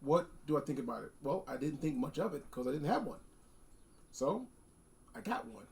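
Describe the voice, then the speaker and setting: A man with a fairly high voice and a clear fry in it chats off the cuff as a product reviewer. He is animated and emphatic, often circling back to repeat a phrase for effect. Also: normal speed. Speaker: product reviewer hosting a video. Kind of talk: casual, unscripted gadget review chat.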